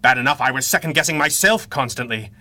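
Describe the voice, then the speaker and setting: Bright-voiced, grave masked radio hero. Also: male voice, mid-range voice, animated, fast, no room sound. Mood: anguished, urgent. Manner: formal, earnest, dramatic diction. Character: guilt-ridden masked crime-fighting hero, grave and secretive husband. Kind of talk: 1930s pulp radio adventure acting.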